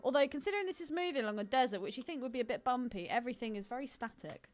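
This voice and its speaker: A woman's voice: high-pitched, expressive, rapid-fire, soft background music. A British woman co-hosting a casual film podcast in a blunt, irreverent, sweary way. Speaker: podcast co-host.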